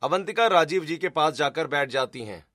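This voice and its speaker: High-voiced man narrating a story. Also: animated, normal speed, bright, forceful tone, soft background music. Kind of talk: scripted cartoon story narration.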